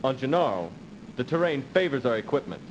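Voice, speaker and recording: An actor playing a cautious, dutiful military aide in a video game, giving formal, measured briefings. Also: male voice, medium pitch, sing-song, dull audio, close to an everyday voice. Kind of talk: dramatic video game cutscene acting. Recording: slightly noisy old game audio.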